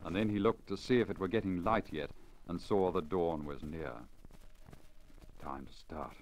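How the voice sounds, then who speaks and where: Crackly 1950s recording, low-voiced male storyteller. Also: fairly quick, a little mellow. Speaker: story reader, radio broadcaster. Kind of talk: 1950s radio storytelling, scripted literary narration. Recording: crackly deteriorated 1950 lacquer disc recording. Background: surface crackle and noise.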